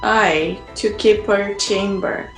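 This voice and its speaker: A woman reading a pert, wary lady-in-waiting in an amateur Shakespeare play, formal and deliberate, in an echoing room over a video call. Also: mid-range voice, steady tone, faint music behind, natural voice. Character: pert, wary lady-in-waiting guarding her mistress's door.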